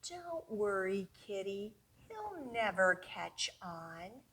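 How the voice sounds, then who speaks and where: A woman voicing a calm, reassuring mother in a children's picture book, soothing and unhurried. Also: medium pitch, husky, heavily acted.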